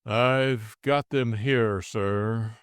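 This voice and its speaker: A man's voice: fairly even intonation, extremely slow, clear, resonant tone, dry recording, studio quality. A man voicing a laconic, pleasant, well-bred conspirator with an English accent in an audiobook. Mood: deferential. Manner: low-key, laconic, pleasant.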